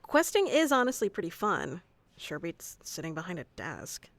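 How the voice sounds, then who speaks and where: A woman's voice: lowish voice, big pitch swings, normal speed, fairly bright tone, dry recording. A woman playing a haughty, easily flustered tsundere young wizard mentor in an ASMR roleplay, bossy and teasing, stammering when flustered. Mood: casual, explaining. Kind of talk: ASMR roleplay acting addressed to the listener.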